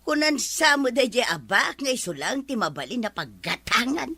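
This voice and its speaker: A woman's voice: fairly high pitch, very animated, moderate pace, sharp tone, slightly rough, dry recording, exaggerated voice. A woman playing an insistent, grumbling older village woman in a comedy drama. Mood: pleading, grumbling. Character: nagging older village woman begging to buy on credit. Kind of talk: comedy radio-style drama acting.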